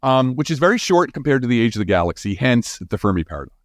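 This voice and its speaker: Male interview guest, scientist type. Lively, varied pitch, conversational explaining with restarts, dry studio-like sound. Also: no room sound. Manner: animated, informal, halting restarts with frequent 'you know'.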